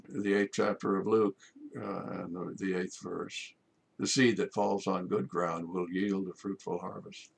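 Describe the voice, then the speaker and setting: An older man, a priest, delivering a measured homily from his study, with rhetorical questions. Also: lowish voice, creaky, slightly rough. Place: home study with bookshelves.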